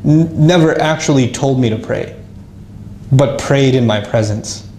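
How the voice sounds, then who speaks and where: A man casually telling his life story to an audience, lively and self-mocking, with frequent restarts. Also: medium pitch, measured pace, roomy sound, slight background noise.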